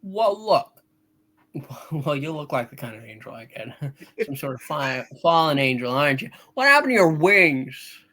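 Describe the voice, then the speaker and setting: A man's voice: fairly high pitch, very animated, moderate pace, bright, forceful tone, full character voice. A man playing a troubled, skeptical everyman in a hammed-up radio play reading, hesitant and folksy with stammered starts. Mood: sardonic. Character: troubled, skeptical, despairing everyman in old film.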